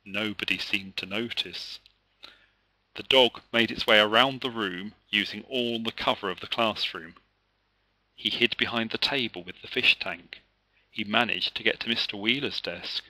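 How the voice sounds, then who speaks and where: A man reading his children's story aloud as an author, with expressive narration. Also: on the low side, moderate pace, no echo, poor audio.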